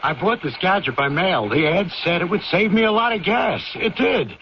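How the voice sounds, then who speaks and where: Radio spot casting: cheated everyman, expressive, slightly high, wryly rueful, dull broadcast audio. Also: normal speed, slight background noise. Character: rueful male duped mail-order shopper. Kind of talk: acted radio testimonial.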